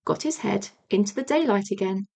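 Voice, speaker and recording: A woman reading a children's storybook as an audiobook narrator, measured and expressive. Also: medium pitch, creaky. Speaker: audiobook reader.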